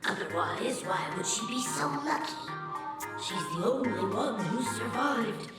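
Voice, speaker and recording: A woman's voice: on the high side, animated, measured pace. A woman voicing a chatty female informant in a game, telling what she has heard in a matter-of-fact way. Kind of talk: game voice acting. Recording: roomy game audio with loud music.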